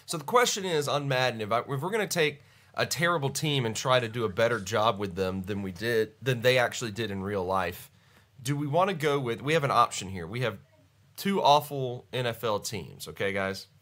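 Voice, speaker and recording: A man's voice: mid-range voice, expressive, brisk, a bit bright. A man hosting a podcast live stream in casual chat, with a stuffy, congested voice, rambling and laughing often. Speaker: podcast host.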